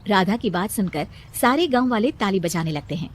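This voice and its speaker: A woman's voice: mid-range voice, expressive, brisk. A woman narrator reading a scripted moral story.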